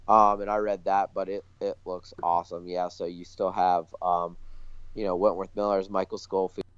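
A man's voice: low voice, level tone, normal speed, clean tone, no room sound, lo-fi sound. A man co-hosting a podcast in casual, enthusiastic chat. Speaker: podcast co-host.

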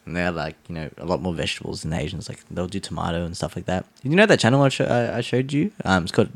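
A man's voice: low-pitched, expressive, very fast. A man co-hosting a podcast, rambling casually with many "like"s and restarts. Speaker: podcast co-host.

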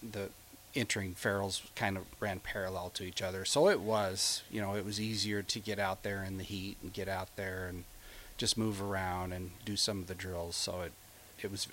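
Low-pitched middle-aged man, halting delivery. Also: sharp tone, slight background noise. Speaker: interview guest. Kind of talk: casual, reflective chat.

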